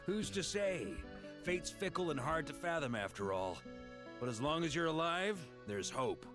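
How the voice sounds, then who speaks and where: Hearty game knight captain, easygoing but theatrical, pitch moves around a lot, bit of music under it. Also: male voice, sing-song, moderate pace. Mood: hopeful. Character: hearty male knight commander, rugged, jovial, philosophical. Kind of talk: dramatic video game voice acting. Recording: music behind the voice.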